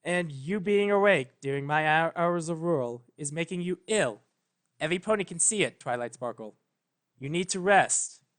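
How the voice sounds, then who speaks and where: A man's voice: on the high side, lively intonation. Man reading as a solemn, regal night princess. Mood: gently firm. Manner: measured, gently firm, formal. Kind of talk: dramatic fan fiction reading.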